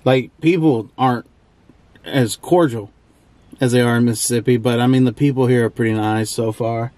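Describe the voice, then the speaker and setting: A man's voice: medium pitch, steady tone, normal speed, a little mellow, dry recording. A young man, rambling casually as he vlogs his travels. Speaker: vlogger. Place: parked truck cabin and outdoors on trails. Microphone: handheld or propped camera. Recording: clear vehicle and outdoor background noise.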